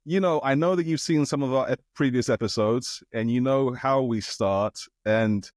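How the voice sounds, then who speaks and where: A man's voice: medium pitch, normal speed, darkish tone, dry recording. Podcast host man on dry mic. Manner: friendly, leans on "you know" fillers. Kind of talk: casual podcast interview.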